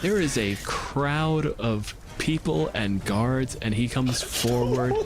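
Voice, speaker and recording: A man narrating as game master of a tabletop game in an animated, casual way, ready to swear. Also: medium pitch, slow, over a noisy crowd.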